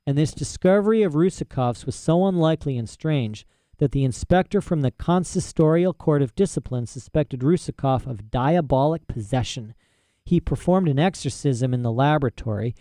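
A man reading a story aloud and voicing a stern, detached nobleman who speaks quietly and evenly. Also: a little high, animated, moderate pace, no room sound, studio quality, close to an everyday voice. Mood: calm cold. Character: cold, calm, stern aristocratic father.

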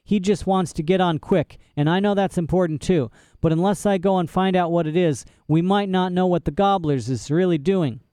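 A man voicing a spirited young girl in a storybook reading, eager and pleading, with a smooth voice in a dry recording. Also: sits high, moderate pace, few pauses, dark tone, no echo, voice pushed up, close to an everyday voice.

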